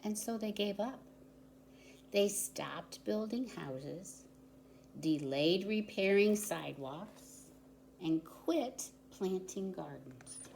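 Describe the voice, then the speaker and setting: A woman's voice: medium pitch, lively intonation, takes it slowly, gravelly, darkish tone. A woman volunteer reading to young children, explaining things simply, with chatty asides and questions to the listeners. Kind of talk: children's story time, read-aloud with chatty asides. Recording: roomy echo with audible background noise.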